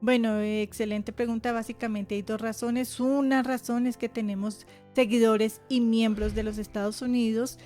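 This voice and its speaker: A woman's voice: a little high, steady tone, moderate pace, hardly any pauses, dark tone, soft background music. A woman co-pastor interpreting into Spanish, with small hesitations and fillers as she goes.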